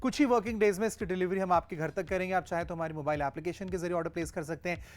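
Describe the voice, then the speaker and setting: A man's voice: high-pitched, steady tone, racing. A man presenting on a home shopping show, steadily listing product features, price and how to order. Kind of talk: teleshopping sales pitch.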